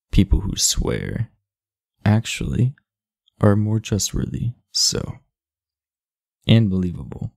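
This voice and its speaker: A man playing an aloof, irritable, sleepy teenage delinquent in an ASMR roleplay, blunt, sardonic and guarded, with a low, gravelly voice. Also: darkish tone, dry recording, studio quality, heavily acted. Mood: dryly teasing. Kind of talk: ASMR roleplay, speaking to the listener.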